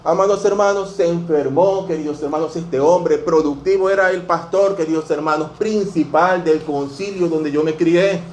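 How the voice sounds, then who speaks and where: A Venezuelan man in his fifties preaches with heartfelt earnestness, his voice sitting a bit high for a man. He keeps calling his listeners dear brothers. The sound rings through an echoey church room. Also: normal speed, few pauses, darkish tone, slight background noise. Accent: Venezuelan Spanish. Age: 50s. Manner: earnest, fills every phrase with "dear brothers". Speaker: pastor. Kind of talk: sermon. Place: church sanctuary.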